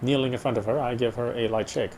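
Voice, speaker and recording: A man's voice: medium pitch, fairly even intonation, fast, slight background noise, low-quality recording. A man doing a dramatic read of visual novel narration, stumbling over the odd word. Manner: stumbles over words while reading. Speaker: let's player reading a game aloud. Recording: music bed behind the voice. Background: loud game music.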